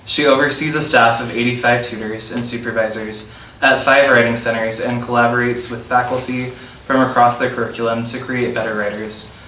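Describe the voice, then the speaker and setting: Male event host, bright tone, reading prepared introduction, echoey room with faint noise. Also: mid-range voice, steady tone, moderate pace. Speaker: host introducing a featured poet. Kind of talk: reading a scripted introduction aloud. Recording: roomy, distant mic, faint noise.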